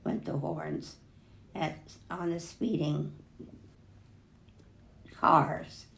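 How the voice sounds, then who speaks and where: An older woman reading a children's picture book aloud expressively, pausing to remark on the pictures. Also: low voice, steady tone, gravelly, a bit bright. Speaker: storybook reader.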